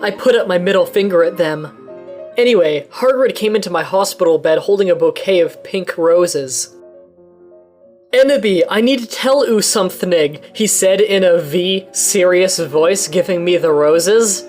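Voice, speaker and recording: A woman giving a comedic dramatic reading of a fanfic in first person, deadpan and mock-dramatic, with deliberate mispronunciations. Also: lowish voice, soft background music. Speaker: dramatic reader.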